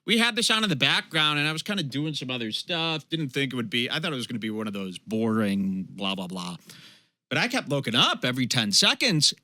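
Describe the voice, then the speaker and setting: A man hosting a comedy show, giving sarcastic, animated commentary over a video and mimicking people mockingly. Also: mid-range voice, brisk, no room sound.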